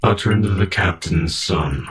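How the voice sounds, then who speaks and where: A man's voice: sits low, lively intonation, slow, a bit bright, slight background noise. A man narrating a scripted audio drama as storyteller.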